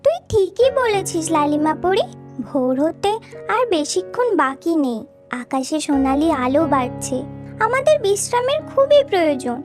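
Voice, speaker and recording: A woman's voice: very high voice, very animated, normal speed, over music. A woman voicing a caring young fairy in mermaid guise for a cartoon. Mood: tired. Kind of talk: cartoon character acting.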